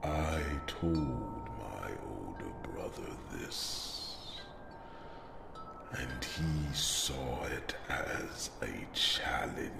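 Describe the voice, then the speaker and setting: A man voicing an old, solemn dragon king in an ASMR fantasy roleplay, slow and halting, with a deep, gravelly, breathy voice. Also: expressive, background noise, exaggerated voice. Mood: sorrowful, grave. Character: old male dragon, former Alpha king, solemn and regretful. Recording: echoing voice over music and chimes.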